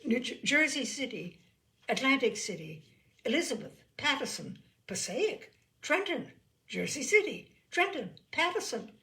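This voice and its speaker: A woman's voice: high-pitched, big pitch swings, a little slow, sharp tone, voice pushed up, heavily acted. A woman voicing an anxious, exclaiming father who is alarmed by his son in a humorous story. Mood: nervous. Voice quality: shaky.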